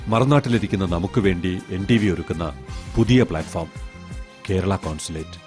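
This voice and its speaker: A man's voice: sits low, normal speed, a little mellow, faint music behind. A man reading a scripted television promo as its announcer.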